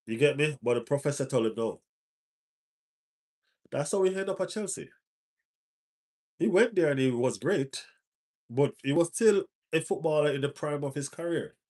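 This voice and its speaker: A man with a stuffy nose hosting a casual live stream as a football fan, repeating his phrases for emphasis. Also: mid-range voice.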